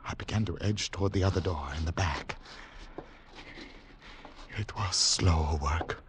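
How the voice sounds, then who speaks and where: An actor playing a haunted secret agent on the run, narrating in dramatic, deliberate, clipped phrases in 1940s radio thriller style. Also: male voice, sits low. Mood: hushed suspense. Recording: noisy 1940s radio recording with clicks.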